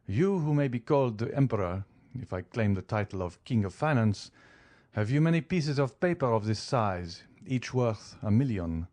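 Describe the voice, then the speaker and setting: A man's voice: medium pitch, expressive, normal speed, darkish tone, lightly acted. A man voicing a proud middle-aged banker who speaks formally and boastfully. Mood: proud, pleased. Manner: formal, boastful, then stammering.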